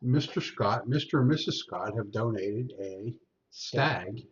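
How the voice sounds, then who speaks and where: Low-voiced loud man co-hosting a chat. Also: a little slow. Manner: booming, talks loudly. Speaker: show co-host. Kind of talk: casual whiskey review chat. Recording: dull lo-fi audio.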